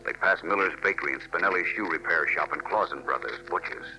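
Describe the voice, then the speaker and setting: A man's voice: deep voice, fast, poor audio. A man giving dramatic, suspenseful narration for a 1940s radio serial in a theatrical manner. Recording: old radio recording under loud music. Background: loud music.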